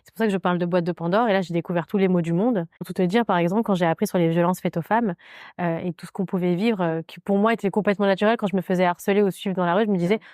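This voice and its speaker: A woman from France, a podcast guest, telling her personal story candidly in a halting way, with many fillers and restarts. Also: lowish voice, steady tone, darkish tone, dry recording, clean studio sound.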